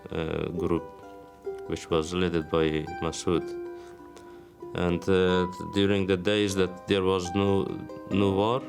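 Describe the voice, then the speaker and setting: A young Afghan man speaking English with an Afghan accent, telling his life story haltingly with many pauses. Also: sits low. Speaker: interviewee. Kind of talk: documentary testimony. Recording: music bed under the voice.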